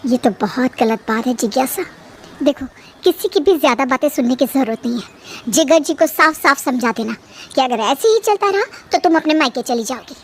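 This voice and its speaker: A woman's voice: high-pitched, lively intonation, normal speed, slight background noise. A woman voicing a scheming young sister-in-law in a moral story, confiding and confidently giving inciting advice. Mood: conspiratorial. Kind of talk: Hindi moral-story drama.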